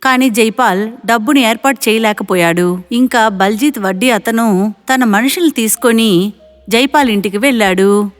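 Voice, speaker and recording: A woman's voice: on the high side, normal speed, soft background music. A woman narrator reading a scripted moral story.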